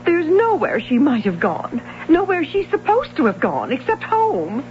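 A woman's voice: high-pitched, wildly swooping pitch, measured pace, heavily acted. An actress playing an anxious, insistent middle-aged mother, urgent and indignant, in a 1970s radio drama. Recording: dull old radio broadcast, faint hum.

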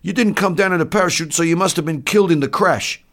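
A man voicing a blunt, matter-of-fact enlisted soldier in an audiobook, respectful in form and flatly insistent. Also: fairly high pitch, quick pace, voice pushed up. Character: blunt, matter-of-fact enlisted army men addressing their superior.

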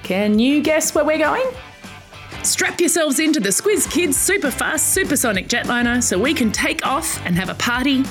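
A woman narrates an educational show for children in a fairly high, very animated voice. She talks straight to young listeners and drops in playful asides. Faint music plays along with her. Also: moderate pace. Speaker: podcast host for children. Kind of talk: scripted educational narration for kids.

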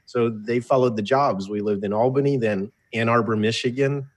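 A man's voice: mid-range voice, steady tone, moderate pace. A man in his fifties with an American accent, telling his life story conversationally as an interview guest, with wry, jokey asides. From born in Soviet Russia, grew up in the United States from age eight. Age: 50s. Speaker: interview guest, entrepreneur and author. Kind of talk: casual autobiographical storytelling in an interview.

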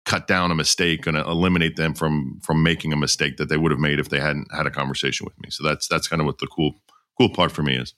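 A man's voice: very deep voice, level tone, fast, fairly bright tone. A middle-aged man co-hosting a podcast, easygoing and repeating his words as he talks. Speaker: podcast co-host. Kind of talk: podcast interview.

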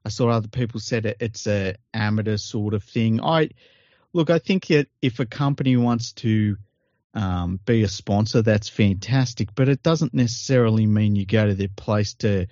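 A man's voice: fairly low pitch, normal speed, a little mellow, no echo, muffled recording. Blunt, sweary Australian man on a podcast. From Western Sydney, Australia. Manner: blunt, swears freely, laughs at own jokes. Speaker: podcast co-host. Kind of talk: casual sports podcast chat.

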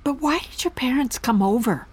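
An older mother with a fairly high voice that swings widely in pitch. She rambles in a nosy, naive, excitable way, as in a naturalistic audio comedy. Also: moderate pace, husky. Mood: nosy, flustered. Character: chatty, anxious, naive older mother and customer. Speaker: actor in an audio drama.

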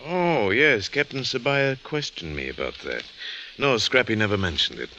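A grave, courteous gentleman with something held back, from a vintage radio mystery. His low voice is forceful and expressively inflected, formal and polite, on a dull old recording. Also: very animated, moderate pace, a little hiss or hum. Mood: guarded. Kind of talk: old-time radio drama acting.

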